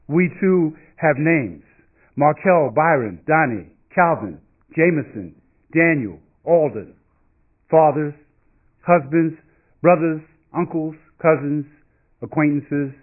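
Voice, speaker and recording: Higher-voiced man reciting poetry, thin band-limited sound. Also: phone-quality sound. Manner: measured, deliberate phrasing with dramatic pauses. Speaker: reader at a literary event. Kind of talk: poetry reading, recited from a script. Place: stage in an indoor auditorium. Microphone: stand microphone at a lectern.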